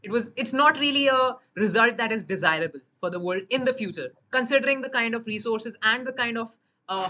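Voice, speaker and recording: A woman moderating a webinar, putting a thoughtful question to a panelist in halting phrases. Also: on the high side, bright, forceful tone.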